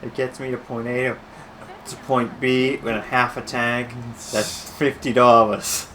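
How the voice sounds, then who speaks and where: A man's voice: medium pitch, flat delivery, measured pace, sharp tone, a little hiss or hum. A man co-hosting a video game playthrough, riffing casually and swearing as he jokes with his partner. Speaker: let's play co-host. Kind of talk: casual joking banter over gameplay.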